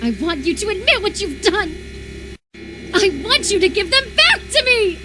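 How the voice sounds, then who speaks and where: A woman's voice: very high voice, big pitch swings, normal speed, background noise. A woman voice-acting an unhinged, obsessive lover in a horror visual novel, intense and volatile, swinging between tenderness and menacing fury. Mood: desperate rage. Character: unhinged, obsessive lover convinced an impostor replaced their beloved.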